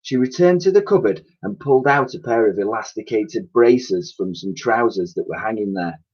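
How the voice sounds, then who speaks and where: A man reading a children's storybook aloud as a teacher would, with expressive narration, on a slightly dull lo-fi recording. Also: on the low side, normal speed, slightly rough.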